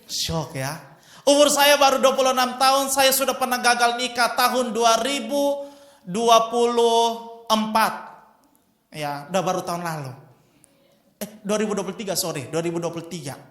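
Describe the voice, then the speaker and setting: A man's voice: extremely high pitch, moderate pace, a bit bright. A man in his twenties preaching a sermon with personal testimony, emphatic and often addressing the congregation. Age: 20s. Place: church hall. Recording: echoey church hall.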